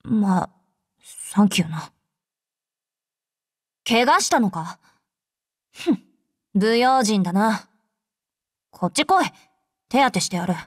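An actress playing a brash, hot-tempered young female fighter with rough, curt tomboyish speech, in anime game voice lines. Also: mid-range voice, expressive, takes it slowly, pauses often, no room sound, studio quality. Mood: gruff, reluctant. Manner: rough, curt masculine speech, easily flustered.

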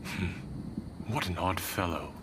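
An actor playing an aloof, commanding shogun in a video game, measured and dismissive. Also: male voice, deep voice, noisy background. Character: aloof, haughty Japanese shogun, commanding man. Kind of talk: video game cutscene acting.

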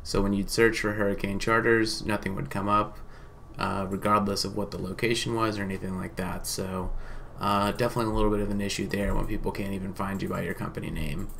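Low-voiced man, casual and hesitant presenter. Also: little pitch movement, fairly quick, vocal fry, fairly bright tone, a little hiss or hum. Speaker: marketing company presenter. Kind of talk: informal case study presentation to camera.

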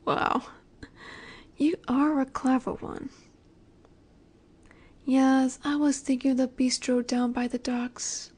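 A woman playing a flirty, confident female mafia boss in a roleplay audio drama, coy and teasing with breathy asides. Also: sits high, little pitch movement, slow pace, long pauses, darkish tone, full character voice. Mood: coy, flirtatious.

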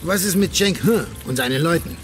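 A man's voice: fairly high pitch, lively intonation, fairly quick, faint music behind, natural voice. An actor playing a wealthy, smug, regal prince in a radio drama, savouring his words theatrically. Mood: gracious, commanding.

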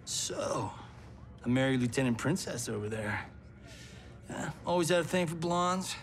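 A man's voice: mid-range voice, animated, unhurried, big-room sound, a little hiss or hum. An actor playing a boastful male movie-star fighter who shows off in a video game cutscene. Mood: cocky. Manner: cocky, showboating.

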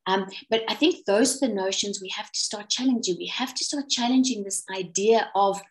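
A South African woman talking over a video call in a loose, conversational way. She wanders through her points, restarting sentences and filling pauses with ums, and sometimes apologises for her own humour. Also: mid-range voice. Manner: self-deprecating, rambling with many restarts and ums. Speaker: webinar presenter. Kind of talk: casual conversational talk.

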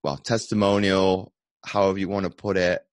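A man's voice: sits low, flat delivery, moderate pace. A man hosting a podcast, chatting and asking rambling questions in a casual interview. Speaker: podcast host.

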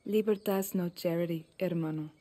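An actress playing a steely, idealistic young guerrilla leader in a video game cutscene, terse and commanding. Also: female voice, on the low side, steady tone, brisk, mellow, lightly acted. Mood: stern, challenging. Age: young adult.

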